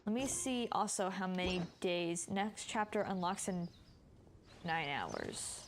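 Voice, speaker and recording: A woman's voice: lowish voice, animated, slow, a little hiss or hum. A woman giving casual game livestream commentary, informal and thinking aloud. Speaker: game streamer. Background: music.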